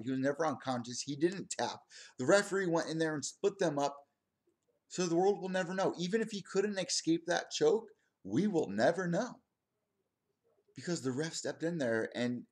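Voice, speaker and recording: A man in his twenties hosting his own combat sports podcast, talking in a rambling, excitable way with lots of asides. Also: a little high. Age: 20s. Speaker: podcast host. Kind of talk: casual solo stream commentary.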